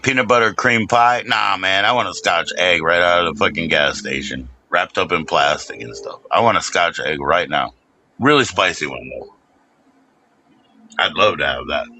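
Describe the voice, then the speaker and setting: A low-pitched man with a very bright, tense edge to his voice. He talks in a loose, laid-back way, dropping swear words and laughing often as he hosts a casual chat. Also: moderate pace.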